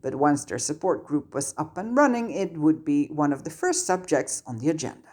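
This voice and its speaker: A woman's voice: deep voice, lively intonation, normal speed, a little mellow. A woman narrating her own scripted story as an audiobook-style reader. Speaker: narrator reading own fan fiction.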